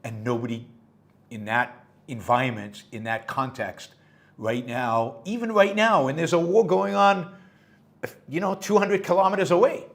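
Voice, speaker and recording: A middle-aged man hosting his own show, giving unscripted solo commentary in an emphatic way, repeating words for stress with many fillers and restarts. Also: medium pitch. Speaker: podcast host.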